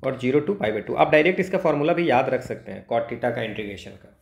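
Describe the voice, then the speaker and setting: A man's voice: medium pitch, animated, a little fast, gravelly. A man with an Indian accent teaching step by step as a maths tutor, in an instructional way, repeating phrases and checking understanding with short tags. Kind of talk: step-by-step exam lesson, explaining worked solutions. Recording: echoey, roomy sound.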